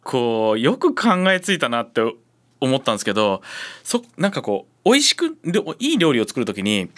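A man's voice: mid-range voice, wildly swooping pitch, a little fast, a bit bright. A man hosting a casual radio interview in an informal, chatty way. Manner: informal, chatty, frequent backchannels. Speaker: radio host.

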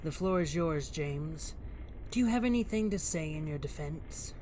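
An actor playing an authoritative police commissioner, diplomatic but stern, with formal and deliberate speech in an audio drama. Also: male voice, on the high side, expressive, mellow, slight background noise, natural voice.

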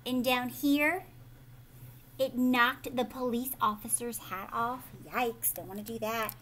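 An American woman in her thirties reads a picture book aloud to children expressively, pausing to ask the listener questions. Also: fairly high pitch. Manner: animated, prompting listeners with questions. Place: living room at home, indoors.

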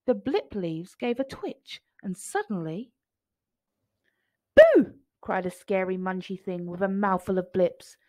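A woman's voice: mid-range voice, lively intonation, moderate pace, hardly any pauses, a little mellow, no room sound. A woman reading a children's picture book aloud in a storytelling style.

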